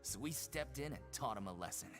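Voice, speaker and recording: A man plays a friendly, earnest inmate from an anime game. His mid-pitched voice moves in lively swoops, and music plays clearly behind him. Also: fairly quick, close to an everyday voice. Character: earnest, friendly male prison inmate promoting his club.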